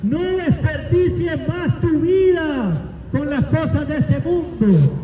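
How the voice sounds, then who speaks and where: A man in his forties delivers a declamatory sermon, stacking up phrases and rising toward shouts. His voice sits very high for a man and his delivery is slow and deliberate. He is recorded from a distance in a roomy, echoing space with a long reverb and faint background noise. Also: expressive. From Cuba. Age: 40s. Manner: declamatory, builds up lists and rises to shouts. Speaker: preacher. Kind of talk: sermon with scripture reading and altar call. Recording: echoey, long reverb, from afar.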